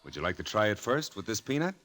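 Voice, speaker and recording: A sly high-rolling gambler from an old-time radio play. His voice is low and lively in pitch, with a casual, unhurried confidence.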